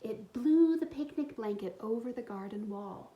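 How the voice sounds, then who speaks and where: A woman with a fairly high, soft-edged mellow voice reads aloud for schoolchildren. Her storytelling is expressive, with explanatory asides suited to young listeners. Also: normal speed, low-quality recording. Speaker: storytime reader for a school community. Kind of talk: children's picture book read-aloud with asides.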